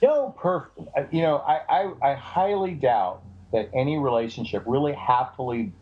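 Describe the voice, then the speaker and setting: A man with a big booming voice, a dating coach giving emphatic, animated advice on a call. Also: normal speed, husky, narrow, tinny audio. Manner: animated, emphatic, repeats phrases. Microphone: call audio.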